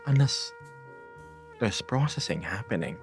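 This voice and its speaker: A man hosting a casual live stream, reading viewer messages aloud in a playful, laid-back way with hushed asides and upbeat bursts. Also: on the low side, animated, a little slow, creaky, soft background music.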